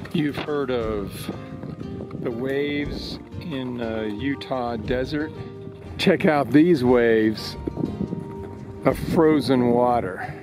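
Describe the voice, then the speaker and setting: A man in his fifties narrating a casual outdoor travel vlog into a handheld action camera, easygoing and chuckling often. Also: mid-range voice. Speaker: travel vlogger. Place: outdoors on a glacier hike and campground.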